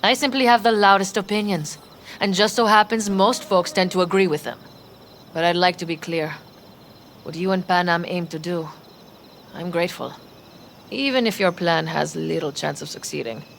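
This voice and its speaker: A woman playing a proud, skeptical nomad clan member in a video game, blunt and measured. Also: on the low side, vocal fry, a little hiss or hum. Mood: cool skepticism.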